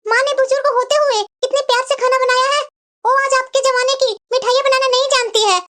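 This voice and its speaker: A woman voicing a chatty, sharp-tongued married daughter visiting her parents in a cartoon. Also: very high voice, fairly even intonation, fast talker. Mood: lecturing. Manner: chatty, lecturing. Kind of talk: cartoon voice acting.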